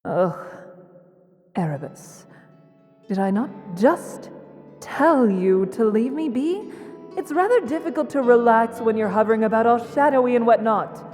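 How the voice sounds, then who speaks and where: A woman's voice: on the high side, expressive, unhurried, a little mellow, soft background music. A woman playing a languid, regal goddess in an audio drama, with airy, posh phrasing, haughty yet affectionate. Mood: irritated.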